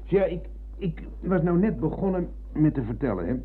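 An actor playing a forgetful old war veteran in a radio play, husky and halting as he rambles. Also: on the high side, sing-song, faint music behind. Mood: reminiscing. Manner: hesitant, halting, peppering talk with tag questions. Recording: thin, phone-like old radio recording.

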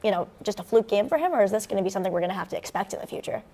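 Fast-talking young American woman talking sports. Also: mid-range voice, expressive, warm tone. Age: young adult, early 20s. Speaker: student sports show co-host. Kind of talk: conversational sports commentary. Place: indoor campus TV studio at a desk. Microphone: lapel mic.

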